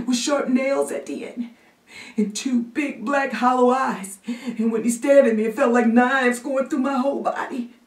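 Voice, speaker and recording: A woman's voice: fairly high pitch, darkish tone, voice pushed up, close to an everyday voice. A woman voicing a terrified, frantic nine-year-old boy in a theatrical monologue. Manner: frantic, childlike. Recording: roomy echo, distant microphone.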